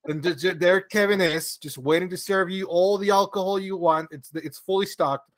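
A man's voice: sits high, normal speed, no room sound. A man from Venezuela, a podcast guest telling stories in a rambling, chatty way with frequent laughter and restarts. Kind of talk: casual conversational storytelling.

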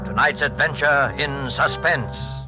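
A man's voice: medium pitch, slow, low-quality recording. A man announcing in a formal, scripted 1940s radio style on an old broadcast recording. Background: music.

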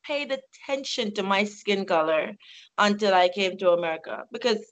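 A woman's voice: mid-range voice, normal speed. A woman from Jamaica, telling a personal story in a casual chat with friends. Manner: storytelling, expressive. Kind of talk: casual group discussion.

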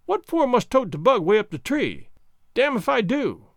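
Man voicing a high, folksy old servant. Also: very animated, normal speed, dry recording, clean studio sound, heavily acted. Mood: indignant, scared. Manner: dialect speech, dropped words, exclaiming protests. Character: old male servant, fearful but stubborn, folksy. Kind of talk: audiobook character voice.

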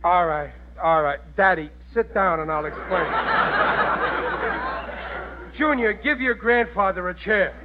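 A man's voice: high voice, measured pace, pauses often. An American actor playing a middle-aged, dim-witted but good-natured working-class family man, wisecracking and blustering in a classic radio comedy. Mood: flustered. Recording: noisy, muffled vintage radio recording.

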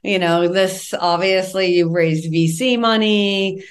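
A woman with a slightly low voice hosts an interview. She speaks casually and conversationally, as an interviewer chatting with a guest. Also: steady tone, slow. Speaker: interviewer, host.

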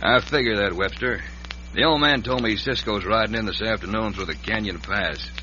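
A ruthless, scheming frontier land agent, American, with a lowish voice and a folksy conspiratorial drawl. It comes from a dull, clearly noisy vintage western radio show. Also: male voice, moderate pace, bright, forceful tone. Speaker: radio actor. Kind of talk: old-time western radio drama acting. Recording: band-limited, noisy old radio recording.

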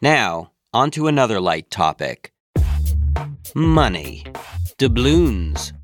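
A man narrating a podcast from a script in a brisk, wry manner. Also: medium pitch.

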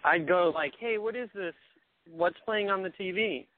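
Higher-voiced young man on phone-like audio. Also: normal speed, a little hiss or hum. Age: 20s. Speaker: talk show participant. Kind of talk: casual chat. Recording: thin, phone-like narrowband sound.

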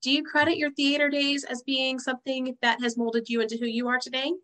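Woman host, loud projecting voice, bright tone, affirming, reflective questions. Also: high voice, level tone, brisk. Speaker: interviewer, host. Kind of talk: conversational interview.

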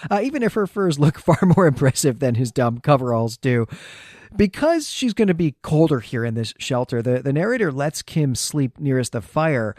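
A man's voice: medium pitch, a little mellow, no echo, clean studio sound. A man hosting a podcast, recapping in a conversational way with dramatic emphasis as he goes. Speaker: podcast host.

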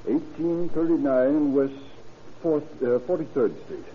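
An actor playing a concerned radio engineer, earnestly explaining things in a 1930s radio drama. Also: male voice, a little high, takes it slowly. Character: concerned male radio engineer reporting a missing employee. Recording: muffled, noisy vintage radio recording.

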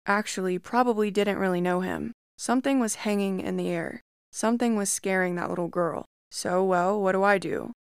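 Female narrator, mid-pitch, smooth and clear, calm creepy-story reading, dry close mic. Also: dry recording.

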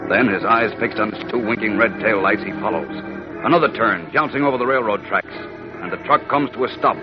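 Male 1940s serial announcer, urgent dramatic narration, medium pitch, muffled recording over music. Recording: muffled old radio recording.

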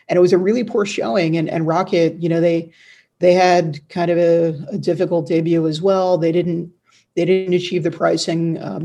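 Male news commentator, fairly high voice with fry, even intonation, halting conversational analysis. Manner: hesitant, halting, repeats words mid-sentence. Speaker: news editor and guest commentator.

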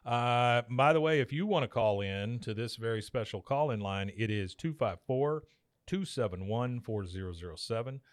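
A man's voice: mid-range voice, animated, moderate pace, dry recording, studio quality. A man co-hosting a podcast, speaking in a casual, conversational style to listeners. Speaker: podcast co-host.

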